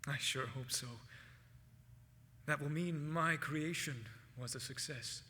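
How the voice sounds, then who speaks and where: Male actor as idealistic, self-assured stage inventor; higher-pitched, very bright voice, declamatory theatre delivery. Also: takes it slowly, a little hiss or hum. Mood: quietly resolute. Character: proud, idealistic male inventor, sure of his vision. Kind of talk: staged musical theatre reading. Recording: echoey hall with long reverb.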